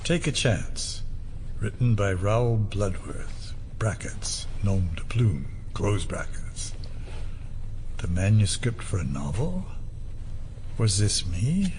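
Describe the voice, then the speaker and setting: A man's voice: on the low side, sing-song, slow, noisy background. An actor playing an older, brooding conspirator, narrating his secret past in a musing, sardonic way. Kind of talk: dramatic first-person narration. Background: music.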